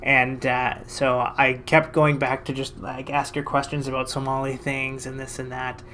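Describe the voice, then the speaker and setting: A man from Canada, a financial advisor, telling a story to camera in a casual, lively, self-mocking way. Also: mid-range voice, normal speed, slight background noise.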